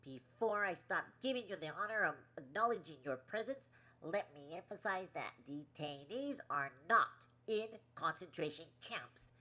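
An actress playing a curt, indignant government press secretary who scolds and talks down with emphasis in an audio play. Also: female voice, lowish voice, expressive, moderate pace, sharp tone.

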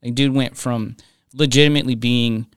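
A man's voice: mid-range voice, fairly even intonation, normal speed, clear, resonant tone, dry recording. A laid-back man talking casually, his voice in the middle of the range for a man. He laughs easily and keeps saying dude. The sound is dry and close. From Reno, Nevada. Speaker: pastor. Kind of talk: casual chat.

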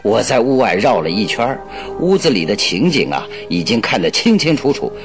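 A man playing a keen detective in a Chinese radio drama, recounting his investigation in a deliberate, expressive storytelling way. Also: lowish voice, soft background music. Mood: observant, composed.

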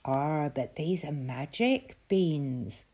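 A woman's voice: lowish voice, big pitch swings, slow pace, mellow, slightly rough, voice pushed up, full character voice. A woman doing a sly strange little man. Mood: coaxing.

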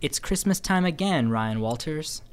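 An excitable, teasing podcast host bantering casually with a group of friends. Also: medium pitch, unhurried, smooth.

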